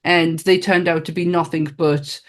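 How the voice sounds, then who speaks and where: Low-voiced frank Northern English woman. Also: little pitch movement, moderate pace. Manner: frank, reactive, swears. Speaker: podcast co-host. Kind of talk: casual chat.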